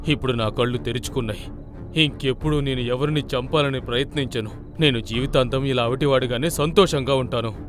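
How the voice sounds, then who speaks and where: A man's voice: medium pitch, a little fast, faint music behind, lightly acted. A man voicing a conflicted, remorseful lame lion in a children's cartoon fable, earnest and dramatic. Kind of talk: animated children's story acting.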